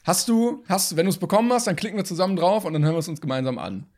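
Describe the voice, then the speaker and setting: A young man co-hosting a casual podcast, with a voice a bit higher than most men's. He jokes around and laughs often. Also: expressive, racing, a little mellow. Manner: joking, laughs a lot. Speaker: podcast co-host. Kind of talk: casual chat.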